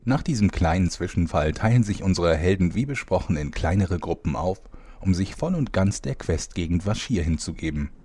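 A man playing the calm storyteller, giving scripted narration for a parody fantasy audio drama. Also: low-pitched, moderate pace, husky. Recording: faint background noise.

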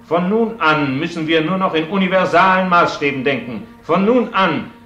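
A man's voice: on the high side, moderate pace, faint music behind. An actor playing a grandiose, domineering corporate magnate addressing his staff in a radio play, with a dull echoing sound. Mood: triumphant, pompous. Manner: pompous, forceful.

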